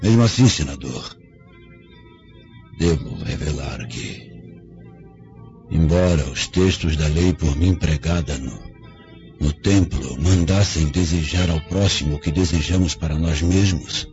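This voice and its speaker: A man's voice: extremely low pitch, unhurried, long pauses, a little mellow. An actor with a Brazilian accent playing a proud, vengeful dying father confessing with remorse, in solemn, theatrical radio drama phrasing. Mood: grave, bitter. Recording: dull lo-fi radio drama, faint music behind.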